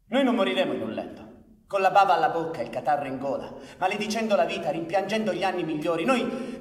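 Brash high-pitched outlaw, echoey. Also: male voice. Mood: defiant. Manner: sardonic, swearing, theatrical. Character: brash, hot-headed, crude male outlaw, mocking and defiant. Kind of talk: theatrical radio drama acting. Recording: roomy echoing stage sound, distant, faint noise.

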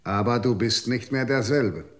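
A man's voice: mid-range voice, normal speed, fairly bright tone, natural voice. An actor in a radio drama playing a stern man who leads a solemn vow, speaking in a commanding, ceremonial way.

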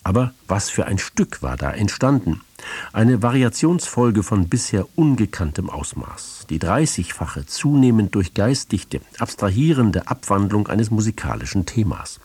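A man presenting an audio play on the radio, reading a scripted introduction in a measured, formal, literary manner. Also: fairly low pitch, big pitch swings, a little mellow, husky, dry recording.

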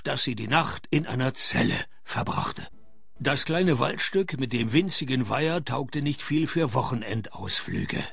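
An actor playing a sardonic private detective who narrates a radio play in a dry, laconic, deadpan way. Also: male voice, medium pitch, vocal fry, husky, no room sound, heavily acted.

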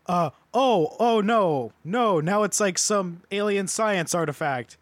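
High-pitched man, sarcastic podcast co-host. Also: slow pace, no room sound. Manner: sarcastic, profane. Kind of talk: casual comedic chat.

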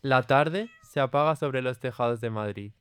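A man's voice: mid-range voice, moderate pace, a bit bright, clear, resonant tone, no echo. A man narrating an audio drama in a solemn, literary storytelling manner. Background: music.

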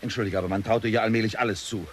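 A man's voice: on the low side, steady tone, racing, slight background noise, natural voice. An actor playing a bitter, exasperated middle-aged father who is easily enraged, in a German radio drama. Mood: irritated, suspicious. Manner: exasperated, scolding, brooding.

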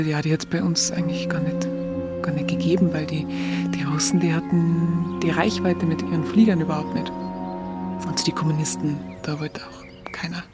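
An actress playing a reflective young woman, recalling the war in a halting, intimate radio monologue. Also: deep voice, lightly acted. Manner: halting, musing, colloquial.

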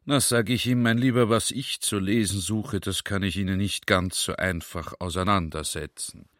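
Garrulous general, dry close sound. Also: male voice, low voice, steady tone, normal speed, a bit bright, dry recording. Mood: confiding, amused. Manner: conversational, rhetorical, checks listener is following. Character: garrulous, earnest army general musing to a comrade. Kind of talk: radio play monologue.

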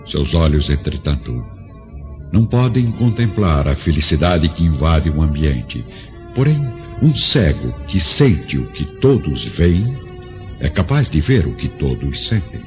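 A man's voice: a bass voice, big pitch swings, a little slow, darkish tone. A man with a Brazilian accent narrating a radio drama in a solemn, literary way. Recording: dull lo-fi audio with music. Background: music.

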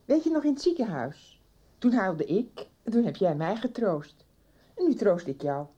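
A woman's voice: mid-range voice, big pitch swings, normal speed, dark tone, husky, highs cut off. An actress playing a middle-aged mother in a naturalistic radio soap, firm and protective, caring in manner. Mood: tender, consoling. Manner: polite but firm, consoling. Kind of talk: naturalistic 1990s Dutch radio soap acting.

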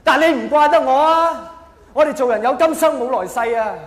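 A man's voice: very high-pitched, brisk. A man with a Hong Kong Cantonese accent performing a theatrical, self-mocking stand-up monologue. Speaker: stand-up comedian. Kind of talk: stand-up comedy monologue to a live audience. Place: large live comedy venue. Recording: echoey hall, faint noise.

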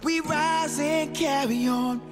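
A woman singing a slow song. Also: sits high, steady tone. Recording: loud guitar music. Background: guitar music.

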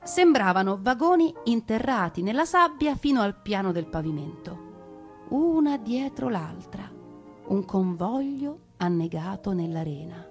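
A woman delivering a theatrical narrated monologue, expressive, with deliberate pauses and emphasis. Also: medium pitch, faint music behind. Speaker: stage storyteller.

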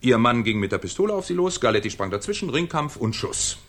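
An actor playing a shrewd, ironic French police commissioner in a 1970s German radio crime drama, with a theatrical, needling manner. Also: male voice, mid-range voice, big pitch swings, fast, a bit bright. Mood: sardonic, needling.

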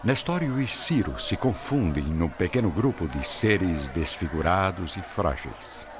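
A Brazilian man giving a grave, measured, dramatic scripted narration for an audio drama. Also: sits low, sing-song, a crowd in the background, background noise. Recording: dull lo-fi audio, loud music behind.

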